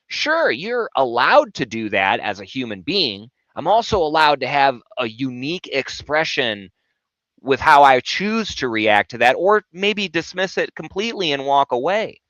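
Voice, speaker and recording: A man's voice: medium pitch, very animated, moderate pace, a bit bright, no room sound, lo-fi sound. A man in his thirties co-hosting a podcast, talking bluntly and swearing freely in casual chat. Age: 30s. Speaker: podcast co-host.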